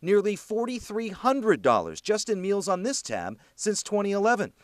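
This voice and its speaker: High-pitched, animated male news reporter. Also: moderate pace, no echo, studio quality. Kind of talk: scripted news report narration.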